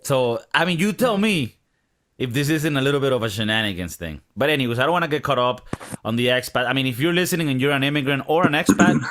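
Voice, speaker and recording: A man's voice: medium pitch, fast. A man hosting a casual podcast, joking and swearing freely as he tells stories. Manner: profane, joking, animated storytelling. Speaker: podcast host.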